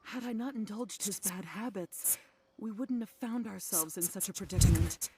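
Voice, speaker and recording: A woman's voice: mid-range voice, normal speed, close to an everyday voice. A woman voicing a worried middle-aged wife in a game, speaking wearily and apologetically. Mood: weary. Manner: weary, apologetic, soft-spoken. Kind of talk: game voice acting.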